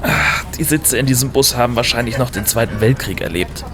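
A man's voice: mid-range voice, brisk, over music, lightly acted. An actor playing a grumbling, hungry man in a tour group, delivered theatrically for an audio drama.

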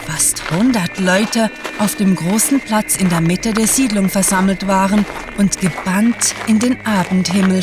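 A woman narrates a scripted story at a slow, measured pace. Her pitch sits in the middle range for a woman, and music plays clearly behind her voice.

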